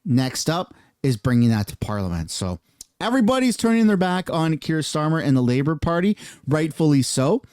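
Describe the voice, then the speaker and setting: A man's voice: on the high side, very animated, normal speed, a little mellow, dry recording, studio quality. A man ranting in a heated, casual reaction commentary over political clips, using slang.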